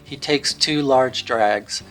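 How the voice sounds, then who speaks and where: A man with a mid-pitched voice narrates a scripted radio drama. He tells the story in a measured way, setting out each scene as a storyteller would. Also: fairly bright tone, faint music behind, low-quality recording. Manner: measured storytelling in present tense, acting out brief quotes.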